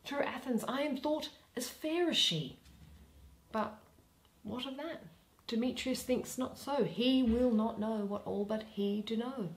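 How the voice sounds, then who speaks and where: A young woman reading a play in verse aloud, dramatically and expressively, pausing between phrases. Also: fairly high pitch, poor audio. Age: young adult. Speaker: reader of a Shakespeare play. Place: small plain room indoors.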